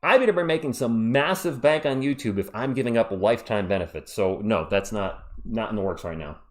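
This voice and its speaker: A man hosting a sports live stream, talking in a loose, chatty way as he reads out viewer comments. His voice sounds worn out. His pitch sits in the ordinary middle range for a man. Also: brisk. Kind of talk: casual live stream chat reading comments.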